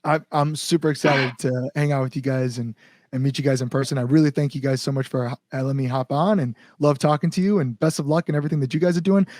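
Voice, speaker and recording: A man's voice: mid-range voice, fairly even intonation, quick pace, a little mellow, dry recording, clean studio sound. A man chatting casually as an interview guest, a musician talking enthusiastically in loose, conversational phrases. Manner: enthusiastic, frequent "like" and "you know". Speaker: guest musician, band singer.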